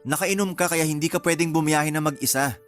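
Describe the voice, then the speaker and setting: An actor playing a terse, gruffly caring young man in a radio romance drama. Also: a little high, a little fast, fairly bright tone, soft background music, lightly acted. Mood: protective, firm. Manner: terse, matter-of-fact.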